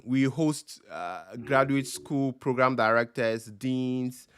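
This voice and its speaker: A man with a Ghanaian accent hosting a live stream Q&A over a video call, talking slowly with many ums and uhs. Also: medium pitch, clean tone. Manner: slow, relaxed with frequent ums and uhs. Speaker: host.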